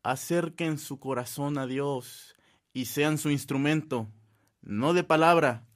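Measured, high-pitched male schoolteacher. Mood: gently exhorting. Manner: measured, exhorting. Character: kindly male teacher of young pupils, encouraging, devout. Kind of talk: radio drama acting.

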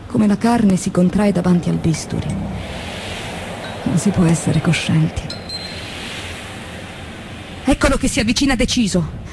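An actress playing a weary, anguished factory press worker in a theatrical radio monologue, with deliberate, intense phrasing. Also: female voice, medium pitch, darkish tone, a little hiss or hum, natural voice. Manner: deliberate, intense, emphatic phrasing with dramatic pauses.

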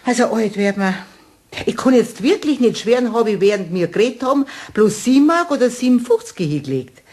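An actress playing a chatty, fussily honest older shopkeeper with a Bavarian accent in a children's radio play, homely and insistent. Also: female voice, fairly high pitch, expressive, brisk, darkish tone. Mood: puzzled, insistent.

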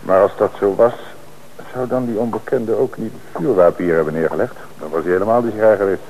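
An actor playing a calm, shrewd police commissioner who speaks in a measured, authoritative way in a radio drama. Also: male voice, on the low side, a little hiss or hum, lightly acted, rough recording.

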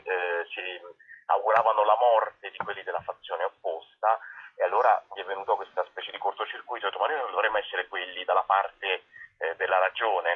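A man, an actor and director being interviewed, explaining a show conversationally and often rephrasing himself mid-sentence, with a husky voice. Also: low-pitched. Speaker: theatre actor and director, guest. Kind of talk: conversational interview answers, presenting a play. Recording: tinny telephone line on radio.

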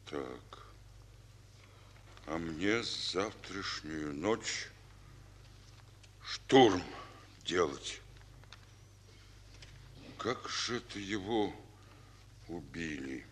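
Slow, low-voiced weary Soviet general. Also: male voice, animated, a bit bright, a little hiss or hum, heavily acted. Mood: pensive. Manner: slow, pensive, halting, fatherly. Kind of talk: 1940s Russian stage war drama. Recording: old reverberant radio recording with music.

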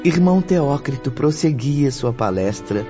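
A man's voice: mid-range voice, lively intonation, a little slow. A man delivering scripted narration for a radio drama. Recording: muffled audio under music.

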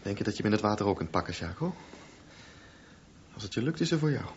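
A man's voice: lowish voice, very animated, fast. An actor voicing an intense, defiant and bitter sixteen-year-old boy swimmer in a radio drama. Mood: taunting, defiant. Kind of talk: radio drama acting and narration. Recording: muffled, faintly noisy radio drama recording.

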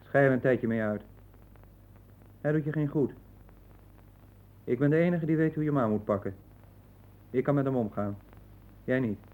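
A man's voice: medium pitch, natural voice. An actor as a curt, bossy man on old radio. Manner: curt, commanding. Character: exasperated, bossy, self-assured man warning his unstable friend. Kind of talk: 1960s radio play acting. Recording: muffled narrowband old radio recording.

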